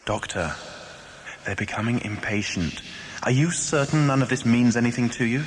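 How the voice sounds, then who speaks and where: A man's voice: mid-range voice, moderate pace, close to an everyday voice. An actor playing an earnest, courteous male professor in an audio drama, explaining politely in an echoing space. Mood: explaining, urgent. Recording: echoey, long cavernous reverb. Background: music.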